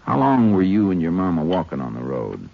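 An actor playing a calm, methodical, kindly Texas Ranger who narrates and acts in an old radio drama. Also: male voice, deep voice, fairly quick, vocal fry, full character voice. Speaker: lead and narrator. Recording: muffled, noisy old radio recording.

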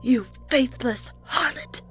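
A woman's voice: high-pitched, a little mellow, faint music behind, pitched above the performer's own voice. A woman putting on a gloating, zealous pregnant patient. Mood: gleeful fervor. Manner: slow, sing-song, mocking religious fervor.

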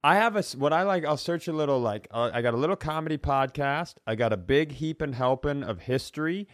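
A man's voice: on the high side, animated, fairly quick, no room sound, studio quality. A man telling stories casually on a comedy podcast, rambling and self-mocking, with loose, sweary delivery.

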